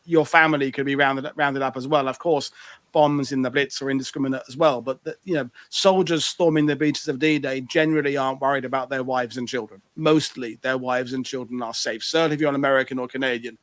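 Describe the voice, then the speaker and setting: A man's voice: mid-range voice, fairly even intonation, a little fast, a bit bright, dry recording. A British man hosting a casual online panel discussion, chatty and rambling, with many restarts. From Britain. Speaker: host. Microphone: video call.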